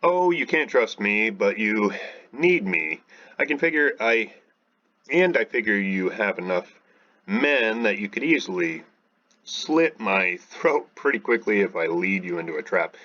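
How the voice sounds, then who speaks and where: Man reading a vengeful rogue ex-pirate from a game, forceful and blunt, lo-fi audio. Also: on the low side, lively intonation, a little slow, exaggerated voice. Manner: reads dialogue aloud in a plain voice. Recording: dull lo-fi audio with faint music.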